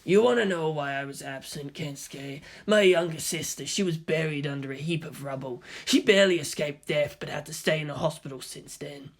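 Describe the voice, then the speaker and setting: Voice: medium pitch, a little fast, vocal fry, few pauses. A man voicing a brooding, resentful teenage schoolboy in an audiobook, with blunt, subdued grumbling. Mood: sullen, bitter. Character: brooding, resentful tough schoolboy, worried older brother.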